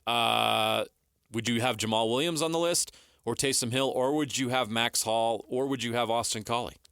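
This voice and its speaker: A man's voice: medium pitch, normal speed, gravelly, fairly bright tone, smooth, no room sound, studio quality. A man co-hosting a sports talk show, giving emphatic, opinionated commentary as he counts down a list.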